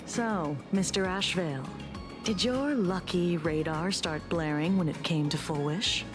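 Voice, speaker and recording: A woman's voice: on the low side, unhurried, lightly acted. A woman playing a serious, by-the-book investigator in a video game, speaking formally and precisely with some exasperation. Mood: measured, stern. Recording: music behind the voice.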